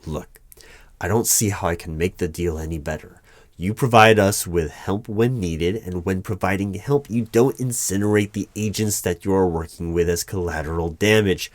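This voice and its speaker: Male actor as wary hiring manager, low pitch, formal, wordy, hesitant pauses, audio roleplay, dry close recording. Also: dry recording, natural voice. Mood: negotiating, persuasive. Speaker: roleplay performer. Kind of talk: one-sided ASMR audio roleplay interview.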